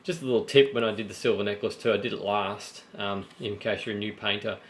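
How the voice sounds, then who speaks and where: A man with a slightly croaky voice, casually showing his painted miniatures and explaining each step with plenty of ums and restarts. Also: on the low side, steady tone, fast. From Australia. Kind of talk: casual show-and-tell vlog.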